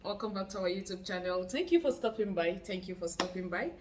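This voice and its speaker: A woman's voice: mid-range voice, lively intonation, a little fast, recorded from a distance, background noise. A Nigerian woman hosting a casual vlog, chatty and playful, saying most phrases twice. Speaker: YouTube channel host. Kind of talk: casual spiritual how-to vlog.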